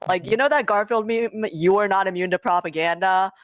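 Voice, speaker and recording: A woman's voice: medium pitch, brisk, no room sound. An American woman chatting informally as a guest creator on a panel, hedging often with filler phrases. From United States. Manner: informal, hedging, frequent "like" and "you know". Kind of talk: casual panel discussion.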